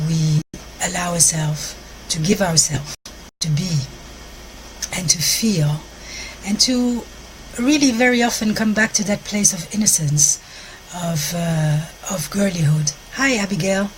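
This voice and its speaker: A Belgian woman speaking English with a French accent, hosting a live stream outdoors in a park and pausing often to find her words. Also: on the low side, slight background noise, poor audio. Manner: searches for words, frequent restarts, soft gentle delivery. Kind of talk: live-stream talk to viewers.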